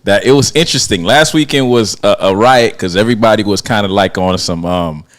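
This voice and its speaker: A man's voice: lowish voice, a little fast. A man in his late thirties hosting a casual podcast, laid-back and rambling. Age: 30s. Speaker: podcast host.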